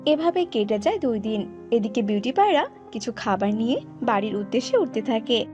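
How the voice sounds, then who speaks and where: A woman's voice: fairly high pitch, very animated, normal speed, highs cut off, music in the background. A woman narrator reading a scripted children's story.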